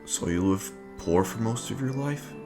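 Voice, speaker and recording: Low male voice, man acting a reserved young operative, earnest, formal wording, amateur audio drama, loud music behind. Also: animated, normal speed, lightly acted. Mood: troubled.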